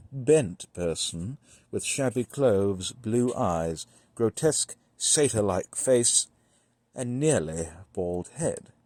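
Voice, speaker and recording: A man reading a gothic horror story as scripted narration, in a measured, deliberate manner with dramatic pauses. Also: mid-range voice, a little mellow, no room sound. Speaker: audiobook-style reader.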